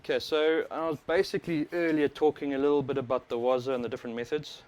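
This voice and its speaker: A man's voice: medium pitch, fast talker. A man with a South African accent giving casual, informal and explanatory live stream commentary on a wireless worn microphone. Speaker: livestream host, overclocker. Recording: clear steady background noise.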